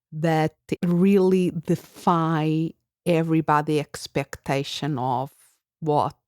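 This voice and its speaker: A woman's voice: low-pitched, a little mellow, dry recording, studio quality. A woman in her fifties from Portugal with a Portuguese accent, hosting a reflective solo podcast with a thoughtful manner. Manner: thoughtful, unhurried, with frequent uh fillers. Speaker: podcast host.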